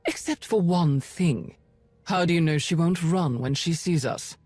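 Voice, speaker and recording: An actress playing a cold, commanding spymaster of an evil empire in a video game, formal, measured and quietly threatening. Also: female voice, fairly low pitch, husky, dry recording, soft background music. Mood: firm, measured. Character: cold, commanding Imperial spymaster, composed and menacing.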